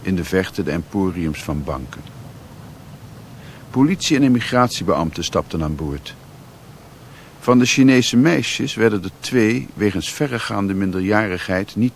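An actor playing a sombre, reflective narrator in a Dutch radio drama, reading literary prose deliberately. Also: male voice, sits low. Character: sombre male narrator recalling a sea voyage. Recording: noisy old radio play recording.

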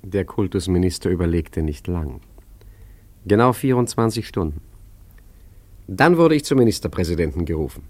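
A man playing a suave, self-assured forger in a radio play, smooth-talking and theatrical as he narrates and schemes. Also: low voice, big pitch swings, normal speed, long pauses, darkish tone. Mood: reflective, proud. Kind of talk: radio play acting with first-person narration.

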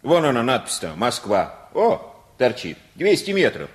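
A man's voice: medium pitch, wildly swooping pitch, a little slow. An actor playing a garrulous, teasing middle-aged working man in a Russian radio play, with a folksy, needling manner. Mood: mocking. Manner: folksy, needling, chuckling, repeats words.

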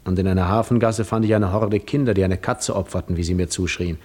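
An actor playing a weary, proud seafarer lost in memories, in a poetic, theatrical 1950s radio monologue. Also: male voice, deep voice, fairly even intonation, fairly quick, darkish tone, slightly rough. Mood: wistful, reflective. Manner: poetic, reflective, theatrical delivery.

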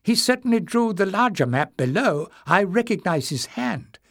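A man's voice: high voice, big pitch swings, moderate pace, fairly bright tone, dry recording, studio quality, pitched above the performer's own voice, exaggerated voice. A man voicing a frail, eager old male librarian who mumbles and hesitates.

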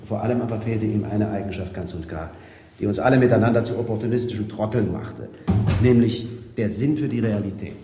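A man's voice: fairly low pitch, fairly quick, background noise, natural voice. An actor playing a worldly, amused, cynical bourgeois ex-revolutionary, telling his stories expansively and sardonically in a radio drama. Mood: confiding, ironic. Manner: sardonic, animated, expansive storyteller. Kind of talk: 1970s German radio drama acting.

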